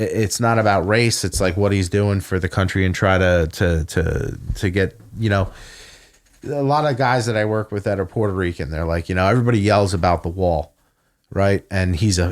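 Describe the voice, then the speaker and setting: A man's voice: low voice, fairly quick. A man in casual podcast chat, ranting and swearing heavily. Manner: profane, ranting. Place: home podcast studio.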